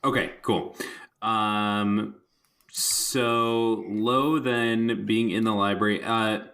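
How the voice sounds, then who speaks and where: A man's voice: fairly low pitch, fairly even intonation, extremely slow, a bit bright. A man playing a tabletop game, casually improvising his character's story with polite, tentative phrasing.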